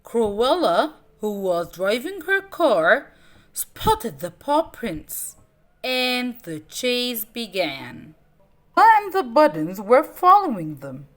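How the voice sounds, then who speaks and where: A woman reading a children's story aloud in an expressive, dramatic way. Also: a little high. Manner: expressive, dramatic, deliberate phrasing.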